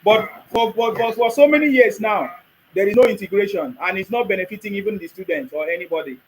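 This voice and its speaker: A man leading a casual debate, emphatic and quick to agree. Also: sits high, rough recording.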